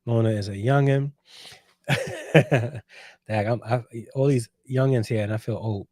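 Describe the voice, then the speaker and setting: A man's voice: medium pitch, unhurried, a little mellow. A man in his forties hosting a casual livestream, reading chat and answering with playful, teasing banter. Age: 40s. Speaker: host reading live chat.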